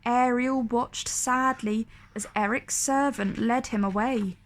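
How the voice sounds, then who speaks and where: A woman reading a storybook aloud for children in an expressive, deliberate, dramatic way. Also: on the high side. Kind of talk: expressive read-aloud narration for kids.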